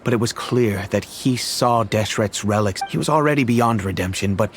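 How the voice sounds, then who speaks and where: An actor playing a middle-aged, earnest noble family head in a video game, telling his story in a measured, formal, reflective way. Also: fairly low pitch, a little hiss or hum, natural voice. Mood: regretful, earnest. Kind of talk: dramatic game voice acting, storytelling. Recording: loud background music. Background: music.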